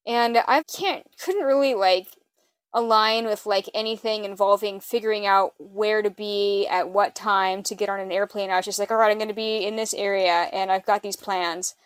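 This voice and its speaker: A woman's voice: medium pitch, fairly even intonation, moderate pace, smooth, dry recording. A woman hosting a podcast in casual chat with a friend, with a slightly nasal voice and informal speech peppered with "like" and swearing. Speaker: podcast host.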